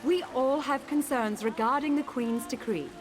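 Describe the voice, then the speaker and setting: An actress voicing a proud, imperious high elf noblewoman in a video game, formal and haughty. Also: normal speed, music in the background, close to an everyday voice. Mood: polite, guarded. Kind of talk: video game character acting.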